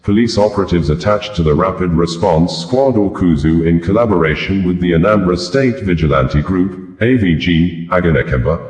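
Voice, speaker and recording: A man reads scripted news in a very low-pitched voice. His delivery is formal and deliberate. The recording is noticeably roomy, with an echo around the voice. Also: darkish tone. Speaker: news reader.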